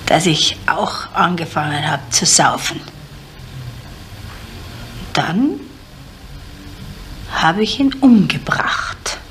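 An actress playing a bitter, desperate middle-aged woman in a crime radio drama, halting and repeating words, sometimes dropping into whispers. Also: deep voice, fairly bright tone, heavily acted. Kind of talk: naturalistic German radio crime drama acting. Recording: noisy 1970s radio play recording.

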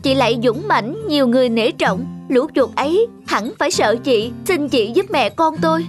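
High-voiced anxious little mother bird. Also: animated, moderate pace, over music. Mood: pleading. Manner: pleading, earnest. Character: small, anxious, earnest mother songbird. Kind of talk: cartoon animal fable acting.

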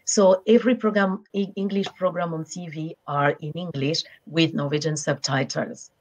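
Middle-aged woman from Cameroon, English; low-pitched, warm, searches for words; webcam call. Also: steady tone, moderate pace, poor audio. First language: French. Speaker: guest. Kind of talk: casual video call interview. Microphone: video call webcam.

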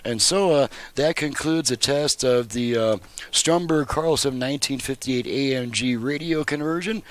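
An older American man talks through a hands-on demonstration in a casual, unscripted way. His voice sits at a medium pitch. He is plain-spoken and halting, like a repairer explaining his bench work. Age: older, around 60s. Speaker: radio repairer and video host. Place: indoor home repair workshop. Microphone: headset microphone.

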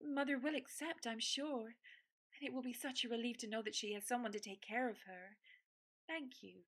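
Woman playing gentle, earnest Meg. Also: on the high side, normal speed. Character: gentle eldest sister, Meg.

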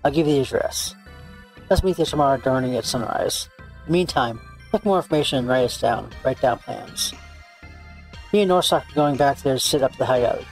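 A man's voice: mid-range voice, natural voice, rough recording. Visual novel role: cocky young woman tracker, blunt and bossy, played by a man in a mid-range male voice, light music underneath. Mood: practical. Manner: boastful, blunt. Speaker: streamer reading a visual novel aloud. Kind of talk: character read-aloud of game dialogue. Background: background music.

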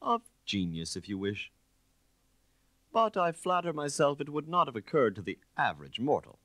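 Guy doing a posh-talking proud gentleman thief for an audiobook, grand and boastful, pitch swooping about, dry sound. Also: sing-song, normal speed, no echo. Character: suave, boastful gentleman thief, theatrically self-satisfied. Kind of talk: audiobook character reading.

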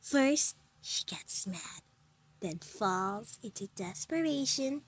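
A woman voicing a mischievous, excitable young schoolgirl in an amateur comic fandub. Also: mid-range voice, takes it slowly, husky. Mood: hushed narrating glee.

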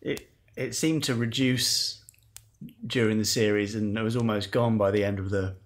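A man hosting a podcast, asking questions briskly and affirming the guest's answers. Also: lowish voice, fairly even intonation. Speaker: podcast host interviewer. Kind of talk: interview questions.

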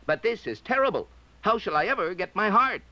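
A man's voice: high-pitched, sing-song, a little fast, pushed and bright, highs cut off, pitched above the performer's own voice, exaggerated voice. A man voicing an earnest, indignant tin woodman in a storybook reading. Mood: distressed.

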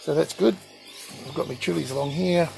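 A man giving a casual, halting tour of his backyard garden, filmed on a handheld phone outdoors. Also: fairly high pitch, vocal fry, dark tone, soft background music. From Australia. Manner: slow, halting, with frequent ums and uhs. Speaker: gardening channel host.

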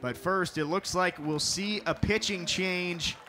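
High-voiced young male play-by-play announcer. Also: measured pace, faint music behind. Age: young adult. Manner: crisp broadcast delivery, rising to excited calls on big plays. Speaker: sports reporter and play-by-play announcer. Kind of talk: televised softball play-by-play.

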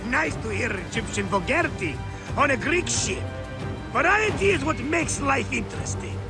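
An actor playing a boisterous, middle-aged Greek warship captain in a video game, grandiose and playful, with a gravelly voice. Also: male voice, extremely high pitch, lively intonation, moderate pace, music in the background. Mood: battle-hungry, rousing. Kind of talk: theatrical video game character acting.

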